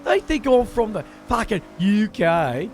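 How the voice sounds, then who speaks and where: A man's voice: sits high, sing-song, unhurried, gravelly, darkish tone, a little hiss or hum, voice pushed up, full character voice. A man putting on a put-on Australian accent to play a brash, boozy young Australian bloke, swearing constantly and drawing out his words. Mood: boastful. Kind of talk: in-character voice in a roleplay game stream.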